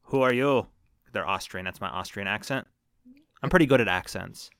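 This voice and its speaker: A man's voice: lowish voice, moderate pace, fairly bright tone, smooth, no room sound, clean studio sound. A man in his thirties co-hosting a casual movie podcast, joking and swearing freely. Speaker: podcast co-host.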